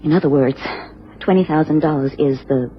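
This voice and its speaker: A woman's voice: low voice, fairly quick, natural voice. Woman playing an anxious, genteel American wife. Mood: worried. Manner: formal, earnest, careful phrasing. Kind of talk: radio drama acting. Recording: dull, noisy old radio recording.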